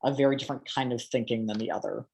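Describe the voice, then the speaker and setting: A man's voice: mid-range voice, fairly even intonation, rapid-fire, creaky, warm tone. A man offering a considered opinion in a meeting, correcting and refining his wording as he goes. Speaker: interviewer in training meeting. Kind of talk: reflective meeting discussion.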